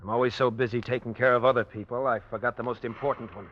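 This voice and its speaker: An actor playing a devoted, reassuring doctor and husband, coaxing and soothing, in a 1940s-style radio drama. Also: male voice, mid-range voice, steady tone, fast talker, lightly acted. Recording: muffled, faintly noisy old radio recording.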